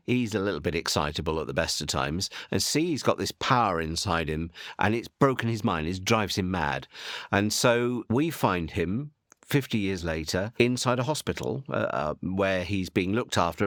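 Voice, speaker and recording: Low-voiced man explaining a drama series. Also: a bit bright, no room sound, clean studio sound. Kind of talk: explanatory interview talk.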